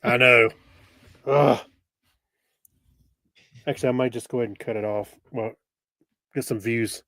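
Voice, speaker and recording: A man's voice: mid-range voice, steady tone, a little slow, pushed and bright, dry recording, clean studio sound. A man co-hosting a podcast, chatting casually in a laid-back, joking manner. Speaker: podcast co-host. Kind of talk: casual chat.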